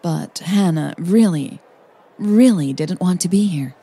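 Slow, husky-voiced woman narrating. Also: lowish voice, mellow. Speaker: podcast host and narrator. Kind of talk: scripted narration of a romance story.

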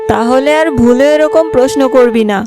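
A woman voicing a caring but scolding village mother in an animated fairy tale. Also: sits high, moderate pace, music in the background. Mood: hurt, anxious.

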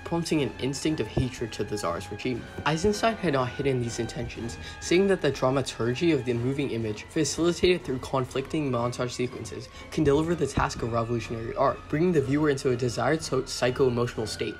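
A man's voice: mid-range voice, a little fast, hardly any pauses, faint music behind. A man reading scripted film analysis as a video essay narrator, in a formal read-aloud delivery with occasional stumbles.

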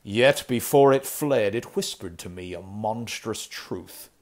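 A grim, somber avenger, read by a male storyteller in a lowish voice that stays even. He declaims old-fashioned speech with stern weight, pressing hard on the key words. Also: slow. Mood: solemn. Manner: solemn, archaic, declamatory speech.

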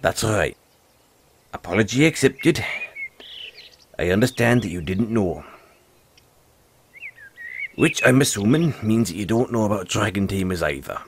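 A man playing a gruff but friendly forest dragon tamer, talking in a folksy way straight to the listener in an audio roleplay. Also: fairly low pitch, animated, measured pace, pauses often, a little hiss or hum. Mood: friendly, explaining. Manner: folksy, teasing, calls the listener lad.

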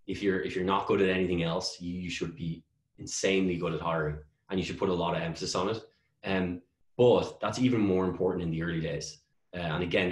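A man in his thirties giving informal, emphatic advice as an interview guest on a video call. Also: sits low. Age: 30s. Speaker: guest, customer success executive. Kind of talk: conversational interview answers. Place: small bare room at home, on a video call. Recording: echoey room on video call.

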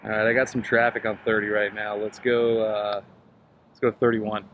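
A man's voice: on the low side, level tone, moderate pace, lo-fi sound, a little hiss or hum. A man hosting a review channel, talking casually through a product and trying it out. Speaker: host of a radio review channel. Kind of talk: casual product review and field test to camera.

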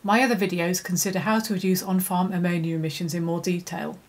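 A middle-aged woman, an environmental consultant, giving a measured, scripted educational presentation to camera in a studio. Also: on the low side. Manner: measured, clear, steady delivery. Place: indoor studio, plain background.

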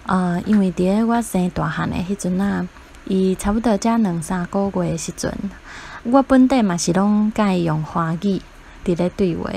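A woman's voice: mid-range voice, normal speed, gravelly, warm tone, a little hiss or hum. A woman with a Taiwanese accent, a parent guest casually telling her own story. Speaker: parent guest sharing experience.

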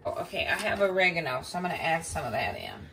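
A woman's voice: low voice, sing-song, fast, a bit bright. Mom doing a casual cooking video in her kitchen, talks step by step with ums, a bit far from the phone mic. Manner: chatty, explaining step by step with ums. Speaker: mom vlogger. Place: home kitchen counter indoors. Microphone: phone camera pointed down at the counter. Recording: voice a bit far from the mic, faint background noise.

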